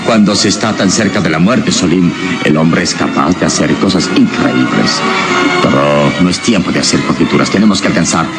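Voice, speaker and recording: An actor playing a calm, determined, muscular adventure hero in a radio serial, speaking in a formal, measured way. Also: male voice, low-pitched, natural voice. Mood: calm, commanding. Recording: radio drama with loud music behind.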